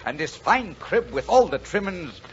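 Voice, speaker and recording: A gruff, folksy delivery driver with a big heart, his pitch leaping and dipping as he blusters. It is old radio drama, dull in sound with a faint layer of noise. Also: very animated, normal speed. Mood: warm, jovial. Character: gruff, kindhearted Irish-American bachelor delivery driver. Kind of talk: 1940s radio drama acting. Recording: dull, noisy 1940s radio recording.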